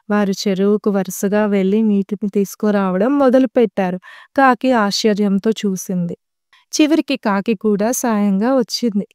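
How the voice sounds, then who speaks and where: A woman narrating a scripted children's story in clear, simple phrasing addressed to young listeners. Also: mid-range voice, mellow, no room sound, clean studio sound.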